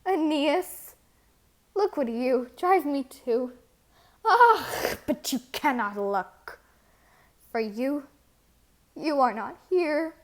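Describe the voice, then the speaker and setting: A woman playing a proud, scorned queen of antiquity in a declamatory, theatrical reading of verse drama. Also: high voice. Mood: bitter sorrow.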